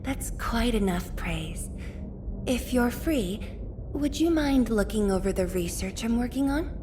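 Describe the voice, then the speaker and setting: A slow-spoken, courteous scholarly official. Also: female voice, fairly high pitch, expressive, noisy background. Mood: modest, kind. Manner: formal, polite, measured, softly reflective. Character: gentle, courteous scholarly viceroy and researcher woman. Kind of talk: scripted video game character voice lines.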